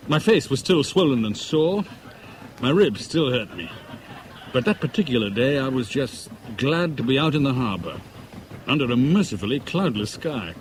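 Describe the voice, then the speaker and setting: A man's voice: medium pitch, rough recording. An actor playing an indignant, lovestruck British merchant banker who questions insistently and narrates his own story in a radio drama. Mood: wry, reflective.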